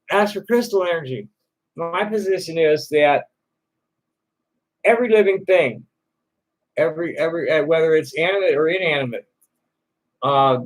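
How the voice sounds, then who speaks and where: A man's voice: a little high, poor audio. Rambling man full of fillers. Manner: halting, many fillers and repeated starts. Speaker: livestream host answering chat questions. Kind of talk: rambling casual chat. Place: Tucson, Arizona.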